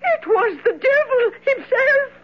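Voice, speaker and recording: High-voiced, superstitious cleaning woman. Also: lively intonation, unhurried. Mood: fearful awe. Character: excitable superstitious cleaning woman swearing she saw the devil. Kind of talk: 1970s radio drama acting. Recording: muffled old radio broadcast.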